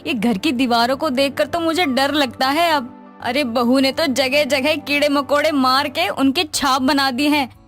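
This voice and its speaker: An exasperated mother-in-law in a family storybook drama. Her voice is very high and sharp, scolding and lamenting theatrically, with faint music underneath. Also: fairly even intonation, a little slow. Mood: fretful. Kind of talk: dramatised Hindi moral story.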